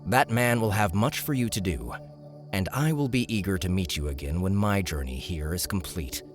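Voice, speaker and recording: A man plays a smooth-talking hero in a fantasy audiobook. His voice is very deep, his delivery measured and self-assured. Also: expressive, music in the background. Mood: calm, persuasive. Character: composed, smooth-talking male hero leader. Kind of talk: audiobook character acting.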